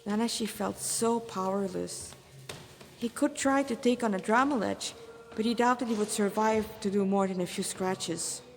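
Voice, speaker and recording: A woman's voice: mid-range voice, moderate pace. A woman reads a story aloud as its narrator. Her phrasing is dramatic, with occasional stumbles over words. The recording carries long, echoey reverb. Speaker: fan fiction author and reader.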